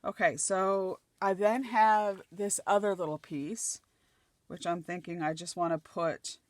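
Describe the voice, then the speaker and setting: A middle-aged woman from Nova Scotia chats as she crafts, thinking aloud as she places each piece. Her voice is slightly croaky and dry. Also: on the low side, a little hiss or hum. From Nova Scotia, Canada. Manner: chatty, thinking aloud while gluing collage pieces. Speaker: craft channel host. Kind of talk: casual crafting chat.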